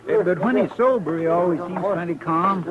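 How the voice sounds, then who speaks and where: An actor playing a loyal, folksy marshal's helper with a deferential rural drawl in an old radio western. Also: male voice, high-pitched, fairly quick, narrow, tinny audio, over a noisy crowd, close to an everyday voice. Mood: anxious, deferential. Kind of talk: 1950s radio drama acting. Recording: thin, band-limited old radio with music.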